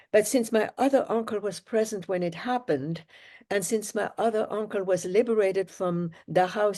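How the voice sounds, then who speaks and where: An older woman from Germany speaking as an interview guest. Her voice is mellow and warm, and she recalls her memories slowly and with care, pausing now and then. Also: lowish voice. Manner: reflective, measured, with pauses. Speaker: guest, Holocaust survivor. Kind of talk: interview storytelling.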